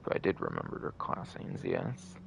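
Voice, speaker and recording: A man's voice: normal speed, background noise. A man hosting a game livestream with casual commentary, rambling and often repeating and second-guessing himself.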